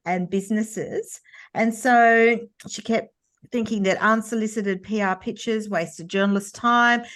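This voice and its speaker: A woman, co-hosting a podcast in a relaxed, chatty way and reading a guest introduction. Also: medium pitch. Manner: relaxed, chatty, laughs easily. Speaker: podcast co-host. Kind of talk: casual chat and guest introduction.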